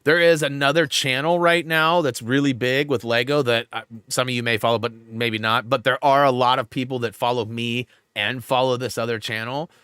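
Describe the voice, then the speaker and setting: A man's voice: mid-range voice, steady tone, moderate pace, few pauses, pushed and bright, clear, resonant tone, no room sound, clean studio sound. A man hosting a gaming livestream in a rambling, casual way, full of fillers, and a bit stuffed up. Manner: rambling, filler-heavy, lots of "like". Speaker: livestream host.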